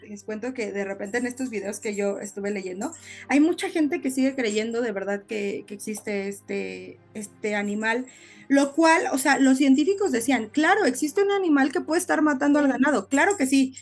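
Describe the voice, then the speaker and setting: A woman with a high voice tells stories casually as a podcast co-host. She explains in an animated way, checking in with short tag questions, over background music. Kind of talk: casual podcast storytelling chat.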